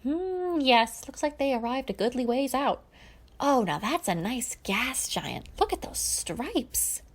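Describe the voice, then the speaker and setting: A woman performs a chatty older alien man from an animated space adventure. He is chirpy and exclamatory, rambling along with cheerful, nostalgic enthusiasm. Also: high voice, slow, voice pushed up, exaggerated voice. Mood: cheerful, curious.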